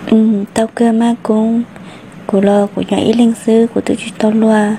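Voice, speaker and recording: A woman's voice: fairly high pitch, flat delivery, measured pace, mellow, a little hiss or hum. A woman voicing a young village woman. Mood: chatting. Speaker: voice actor. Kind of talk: amateur cartoon voice acting. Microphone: phone microphone.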